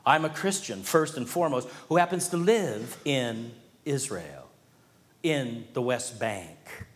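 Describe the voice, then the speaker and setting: A man preaching a sermon from the pulpit. His delivery rises to emphatic points and falls to hushed, earnest lines. His voice carries a long reverb from a large hall. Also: mid-range voice, lively intonation, takes it slowly. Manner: preaching with swells of emphasis and quiet drops. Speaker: pastor.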